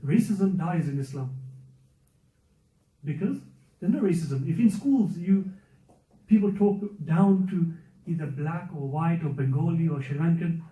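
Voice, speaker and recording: A man gives a conversational religious lesson, pressing his points by repeating them. His voice is dark and mellow, sitting a little high for a man. He sounds distant in a roomy, echoing space, and the recording is dull and muffled. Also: animated, unhurried, long pauses. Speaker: youth circle teacher. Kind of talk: religious lesson to a youth and parents circle. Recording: muffled, echoey room, speaker away from mic.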